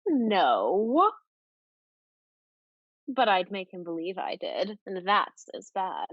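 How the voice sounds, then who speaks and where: A woman acting a proud, scheming society lady in a Restoration comedy, with a theatrical, deliberate delivery. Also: medium pitch, very animated, no room sound, lo-fi sound. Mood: arch, teasing.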